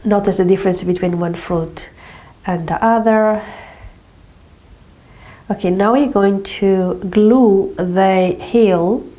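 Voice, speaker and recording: Female movement teacher, stuffy nose from a cold, slow mellow delivery. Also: medium pitch. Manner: slow, calm step-by-step guidance with frequent "okay". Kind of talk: guided exercise instruction.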